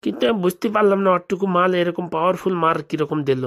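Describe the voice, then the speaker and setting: Cartoon village boy played by a woman: grumbling, colloquial, cowardly braggart, lower register, brisk chatter. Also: lightly acted. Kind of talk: comic cartoon dialogue.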